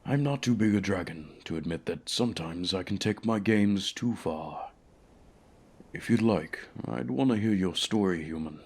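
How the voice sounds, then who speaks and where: A man's voice: sits low, animated, normal speed, a little mellow, husky, full character voice. A man voicing a haughty, commanding dragon in a fantasy ASMR roleplay, speaking formally with pompous condescension. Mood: measured, conciliatory. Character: haughty male dragon, commanding keeper of a human pet.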